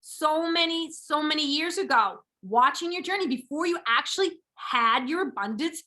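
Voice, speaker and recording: A woman's voice: high-pitched, lively intonation, moderate pace, sharp tone. A woman in her forties hosting a podcast interview, gushing and enthusiastic, repeating words for emphasis. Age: 40s. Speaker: podcast host. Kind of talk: upbeat interview chat.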